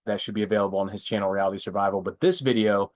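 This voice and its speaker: A man's voice: lowish voice, steady tone, racing. A young adult man with a stuffy, congested voice, hosting a casual live stream and rambling through product picks with frequent self-corrections. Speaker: prepper channel host.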